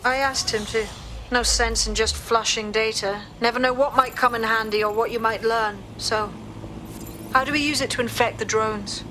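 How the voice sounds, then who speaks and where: An actress playing a calm, determined female resistance leader with a steady manner. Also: a little high, moderate pace, a little hiss or hum, rough recording. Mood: resolute.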